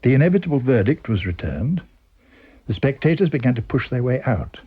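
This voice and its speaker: A British man narrates a story with lively, expressive intonation. His voice has a husky edge, and the radio recording is slightly dull. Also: medium pitch, fairly quick. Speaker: story reader. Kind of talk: dramatic scripted story narration.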